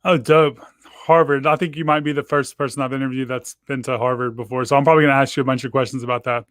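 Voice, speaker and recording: A man hosting a casual video call interview, talking in a relaxed, chatty way with lots of fillers. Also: medium pitch, fast talker, no echo, studio quality. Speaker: host. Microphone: video call microphone.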